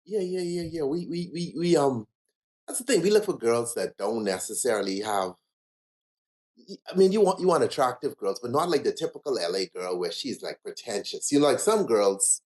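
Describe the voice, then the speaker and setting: A man's voice: medium pitch, very animated, moderate pace, a little mellow. A man from the Bahamas with a Bahamian accent, chatting as a guest bartender in a laid-back, rambling way. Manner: laid-back, rambling, lots of "you know". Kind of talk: casual interview chat.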